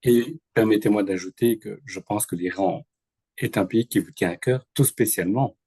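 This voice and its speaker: A man in charge of the program, formally introducing a guest lecturer, polite and pausing on fillers. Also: on the low side, warm tone, husky, dry recording. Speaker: program director, host.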